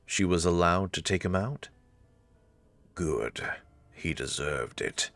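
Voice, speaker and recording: A man's voice: deep voice, lively intonation, slow, fairly bright tone, no echo. A man narrating a visual novel story, slipping in wry, informal asides as commentary.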